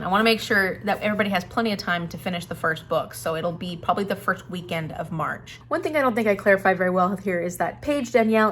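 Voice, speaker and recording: A woman's voice: mid-range voice, fairly bright tone, slight background noise. A woman speaking casually and enthusiastically to viewers as the host of a book channel, making an announcement. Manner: enthusiastic, chatty.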